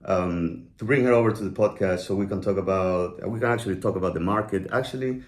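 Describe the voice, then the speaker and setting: A fairly low-voiced man hosts a podcast. He rambles and restarts his sentences with easy enthusiasm. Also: fast. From Venezuela. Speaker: podcast host. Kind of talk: casual chat.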